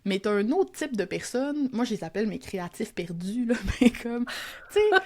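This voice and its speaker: A woman's voice: a little high, sing-song, moderate pace, dark tone. Reflective Québécoise woman guest. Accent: Québécois French. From Quebec. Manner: reflective, self-deprecating, frequent fillers and restarts. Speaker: podcast guest, copywriting teacher. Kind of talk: casual conversational interview.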